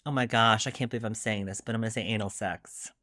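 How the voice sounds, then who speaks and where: A man chatting casually as an interview guest, animated and self-deprecating, often quoting his own thoughts. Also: fairly low pitch, fast, dry recording, studio quality. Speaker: guest author discussing his debut novel.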